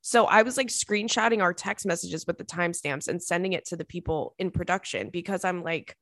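A woman in her thirties with a little rasp in her voice, chatting casually as she hosts a podcast from her kitchen table. She tells stories in a lively, gossipy way, and the sound is dry and close with no room echo. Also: on the low side, a little fast. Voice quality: slightly raspy. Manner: chatty, animated storyteller. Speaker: podcast host. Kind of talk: casual chat.

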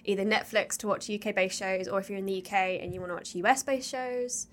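A woman's voice: medium pitch, steady tone, fast talker. A woman co-hosting a casual chat podcast, upbeat and enthusiastic. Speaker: podcast co-host.

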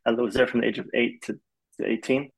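A man's voice: medium pitch, fast, creaky, slightly rough. A young man, a film composer raised in Malaysia, talking casually in an interview, rambling with lots of fillers. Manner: relaxed, rambling with many "like" fillers.

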